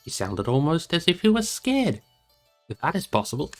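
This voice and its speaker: A man voicing a brisk, practical young male hobbit who speaks in a direct, probing way in a storybook reading. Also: fairly high pitch, huge pitch swings, soft background music, pitched above the performer's own voice. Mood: curious.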